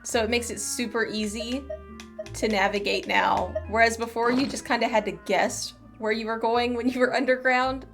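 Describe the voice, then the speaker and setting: A woman streamer with a fairly high voice gives casual gameplay commentary. She is playful and chatty, often talking to herself, with game music clearly audible behind her. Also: level tone, normal speed.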